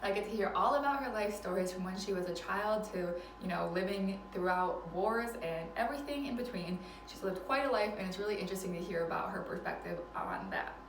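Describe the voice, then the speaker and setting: Fast-talking woman in her twenties, roomy. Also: medium pitch, distant mic. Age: 20s. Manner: chatty, laughs often, self-deprecating. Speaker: vlogger. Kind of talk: casual advice vlog. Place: indoors at a relative's house. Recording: roomy, mic set back, faint background noise.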